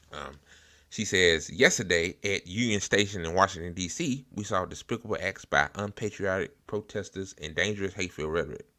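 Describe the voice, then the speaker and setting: Deep-voiced American man, bright and forceful. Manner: informal, hesitant, halting delivery with blunt slang. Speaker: host of a political commentary show. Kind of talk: casual unscripted political commentary.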